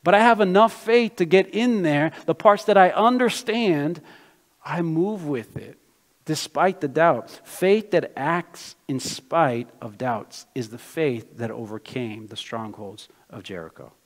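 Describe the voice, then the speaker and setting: A slightly high-voiced male pastor delivers a sermon, weaving his own life story into the message and climbing to emphatic, loud declarations. His voice rings with the long reverb of a large hall. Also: a little slow. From Brazil, raised in the United States.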